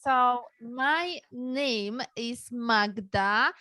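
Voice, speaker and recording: A woman leads an online webinar as a dating coach, talking slowly and warmly with frequent fillers and small laughs. Her voice sits high and bright. The sound is dry and close, like a computer mic on a call. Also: dry recording. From Poland. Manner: slow, friendly, with frequent fillers and soft laughs. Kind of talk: live webinar presentation. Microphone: computer mic on a video call.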